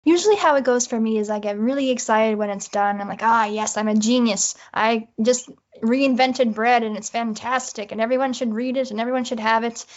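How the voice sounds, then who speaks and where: A woman with a fairly high voice talks casually as a writer being interviewed. She is self-deprecating and voices her own thoughts in quoted asides. The recording is slightly dull and lo-fi. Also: a little fast. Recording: dull, lo-fi audio.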